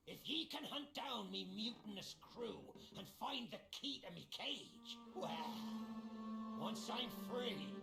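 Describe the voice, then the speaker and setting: A scheming old captain from a video game, drawls slowly. The gravelly voice wheedles and swings widely in pitch, with loud music behind. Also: male voice, roomy sound, exaggerated voice, low-quality recording. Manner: theatrical, wheedling, drawn-out delivery. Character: theatrical scheming caged old pirate captain, male. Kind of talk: video game character acting.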